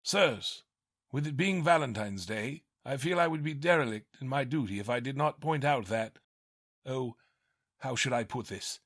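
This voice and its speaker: A man's voice: mid-range voice, lively intonation, normal speed, fairly bright tone, dry recording, clean studio sound. An actor playing a polite, formal older male butler with polished diction.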